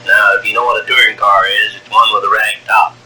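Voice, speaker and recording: A man in his sixties from Wyoming, telling his life story on a home cassette tape, halting, with many fillers, and a strong voice. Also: lowish voice, flat delivery, pushed and bright, slight background noise, poor audio. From Wyoming, USA. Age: 60s. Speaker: narrator of own life story. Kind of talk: taped personal memoir. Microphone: cassette recorder.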